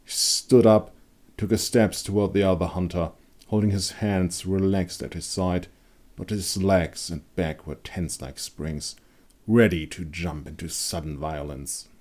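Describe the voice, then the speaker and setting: A male storyteller with a very low voice reads dark fantasy fiction. The delivery is dramatic and scripted, in the manner of an audiobook. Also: steady tone, unhurried. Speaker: author and narrator.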